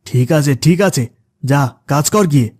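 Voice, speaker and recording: A man's voice: on the high side, normal speed, a little mellow, natural voice. A man voicing an arrogant, scornful rich household master in a cartoon, haughty and bossy. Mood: dismissive. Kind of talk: cartoon voice acting.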